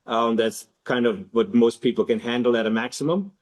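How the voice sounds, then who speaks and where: A middle-aged man speaks at a medium pitch as the host of a webinar. His delivery is casual and unscripted, like someone chatting with his team. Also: moderate pace. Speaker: webinar host and academy head.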